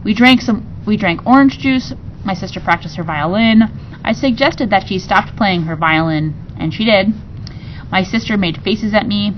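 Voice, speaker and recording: A woman reads a storybook aloud in an expressive way. She acts out dialogue and stops for explanatory asides. Her medium-pitched voice sounds slightly muffled, with faint noise underneath. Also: moderate pace. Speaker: teacher reading aloud to students. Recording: muffled recording with clear rumbling noise.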